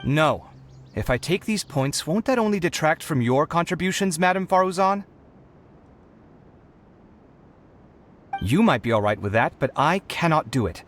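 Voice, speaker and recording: A theatrical young man from a video game with a lightly raised, very animated pitch. He is an earnest idealist who pleads his case. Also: normal speed, a little hiss or hum. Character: dramatic young male idealist, wheedling yet principled.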